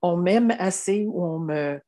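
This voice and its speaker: A woman's voice: on the low side, a little slow, dark tone. A woman in her seventies from Quebec with a Québécois French accent, telling her life story as an interviewee in an earnest way, often restarting and saying "tu sais". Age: 70s. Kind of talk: reflective personal storytelling.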